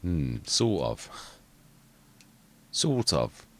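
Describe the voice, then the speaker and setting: Brief: man, very deep and quiet, rambling gameplay commentary. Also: very animated, drawn-out words. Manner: rambling, self-correcting, muttering asides. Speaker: gaming channel host.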